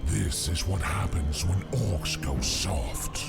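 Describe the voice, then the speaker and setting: A gravelly, menacing orc warlock. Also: male voice, sits low, heavily acted. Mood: menacing, grandiose. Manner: menacing, grandiose, slow and deliberate. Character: sinister, power-hungry orc warlock leading a secret council. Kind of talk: fantasy game cutscene acting. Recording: loud music behind.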